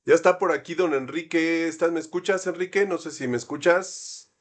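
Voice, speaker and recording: A man hosting an academic seminar, introducing a guest in a friendly, measured way. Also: a little high. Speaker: seminar host.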